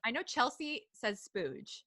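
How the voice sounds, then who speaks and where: Female podcast co-host from Detroit, bright edge, giggly bawdy banter. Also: fairly high pitch, very animated, unhurried. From Detroit, USA. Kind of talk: casual live podcast chat.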